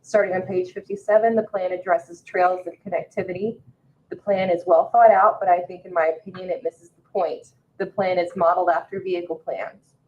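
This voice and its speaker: American woman, low register, town staffer reading a letter into the record, muffled sound. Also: steady tone, fairly quick. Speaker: town meeting staff member. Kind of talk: reading a public comment aloud. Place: indoor public meeting room. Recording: dull, muffled meeting-room audio.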